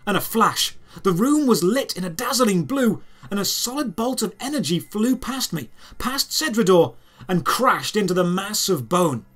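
A man narrating a scripted audio drama in the first person, in measured, deliberate phrases. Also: high-pitched, big pitch swings, a bit bright. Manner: measured, storytelling delivery in short deliberate phrases.

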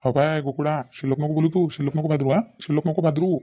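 A man's voice: a little high, fairly even intonation, fast talker, warm tone, close to an everyday voice. A man voicing a defensive, irritable village husband and father in a comedy cartoon, with a rural Vidarbha Marathi accent, arguing and repeating himself. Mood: irritated, defensive. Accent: rural Maharashtrian, Vidarbha. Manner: argumentative, self-justifying, repeats himself.

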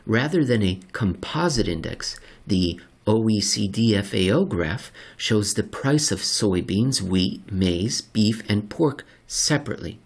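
A man with a slightly husky voice narrates a written article in a deliberate, measured way with dramatic pauses. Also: fairly low pitch, lively intonation. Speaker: narrator reading an article aloud. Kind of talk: scripted narration of an economics article.

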